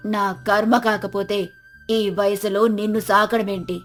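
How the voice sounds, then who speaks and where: A woman's voice: medium pitch, level tone, a little slow, soft background music, natural voice. A woman voicing a bitter old village grandmother who speaks in a harsh, reproachful, dismissive way. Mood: bitter scolding.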